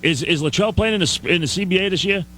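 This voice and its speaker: A man argues as a sports radio host, cutting in with repeated, stammered starts. His voice is sharp and slightly high. Faint noise sits behind the playback. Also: quick pace. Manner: interrupting, stammering repetitions when arguing. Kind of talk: argumentative call-in talk.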